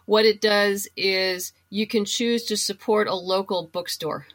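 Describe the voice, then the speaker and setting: A woman's voice: mid-range voice, steady tone, unhurried, fairly bright tone. A woman talking casually as a guest in an interview. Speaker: podcast guest, writer.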